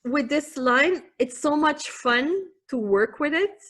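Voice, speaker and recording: A woman whose first language is Dutch, speaking English with a Dutch accent as a guest on a live video-call presentation, talking in a halting way with fillers. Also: sits high, animated. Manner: halting, with fillers and soft laughs. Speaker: guest presenting a product line.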